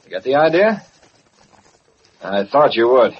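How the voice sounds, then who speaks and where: An actor playing a commanding newspaper boss and crime fighter in American radio drama, brisk and authoritative. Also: male voice, mid-range voice, sing-song, husky. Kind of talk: old-time American radio drama acting. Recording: muffled, hissy vintage radio recording.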